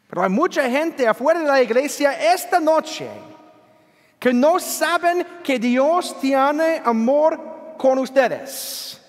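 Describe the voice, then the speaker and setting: A man preaching a homily as a priest, a native English speaker, earnest and unhurried with frequent self-deprecating chuckles, recorded in a church during an evening Mass. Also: very high-pitched, animated. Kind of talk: bilingual homily. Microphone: church sound system, livestreamed. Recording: long church-hall reverb.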